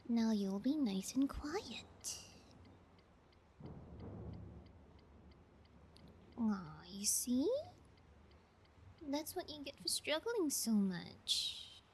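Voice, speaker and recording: A woman's voice: a little high, darkish tone, a little hiss or hum. A woman playing a callous, playfully menacing kidnapper in an ASMR roleplay, talking in a taunting, nonchalant way. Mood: condescending, coaxing. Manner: taunting, nonchalant, slow and deliberate. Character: callous female yangire kidnapper, playfully menacing, bullying sociopath. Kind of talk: ASMR audio roleplay acting.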